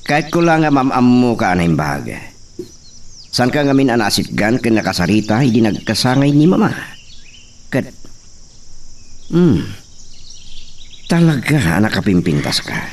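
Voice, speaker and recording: A man with an Ilocano accent playing a smooth young gentleman suitor in a radio drama, recorded from a distance. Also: mid-range voice, big pitch swings, normal speed, pauses often. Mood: courting, reassuring. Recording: distant mic, faint noise.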